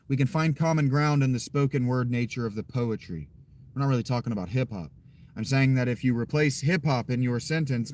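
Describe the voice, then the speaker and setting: A man's voice: medium pitch, a little mellow. A man streaming and chatting with viewers in a joking, rambling way, swearing freely. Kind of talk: casual chat.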